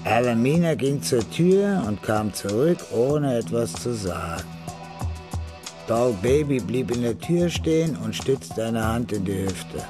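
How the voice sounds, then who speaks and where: A man's voice: mid-range voice, animated, a little slow. Man narrating over music. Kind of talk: scripted narration.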